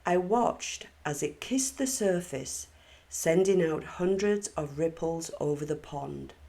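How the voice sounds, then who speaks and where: A woman reads a children's storybook aloud in a fairly low voice with a creaky edge. She takes her time, phrasing each line deliberately and expressively. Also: lively intonation, warm tone. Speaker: storybook reader.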